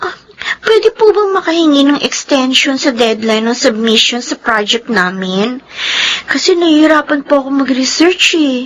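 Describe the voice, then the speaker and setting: Whiny, high-voiced teenage girl. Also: expressive, moderate pace, fairly bright tone, poor audio. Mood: meek, downcast. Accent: Filipino. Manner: whiny, sulky. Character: resentful, envious teenage schoolgirl daughter, self-pitying and whiny. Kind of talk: Filipino radio drama acting.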